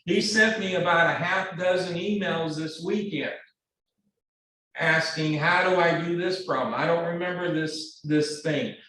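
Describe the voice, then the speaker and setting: A man lecturing a math class, speaking in a halting, earnest way with repeated words and many fillers. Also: on the high side, bright, forceful tone, slight background noise. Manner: earnest, pleading. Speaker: math instructor. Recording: very echoey classroom, distant mic.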